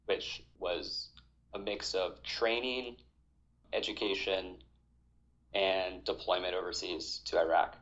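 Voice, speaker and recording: A man in his thirties from Hawaii, an army veteran talking as a podcast guest in a measured, reflective way. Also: low voice, lo-fi sound. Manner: reflective, measured, with fillers and restarts. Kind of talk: conversational interview.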